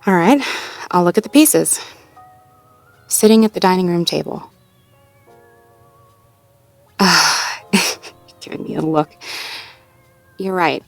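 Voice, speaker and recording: Low-voiced, casually wry girlfriend played by a woman. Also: a little slow, gravelly, long pauses, dry recording, soft background music. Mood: wry, conceding. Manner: conversational, casual, with sighs and soft chuckles. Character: sweet, self-doubting, playfully wry girlfriend talking with partner. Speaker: audio roleplay performer. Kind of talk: intimate slice-of-life audio roleplay acting, one-sided conversation.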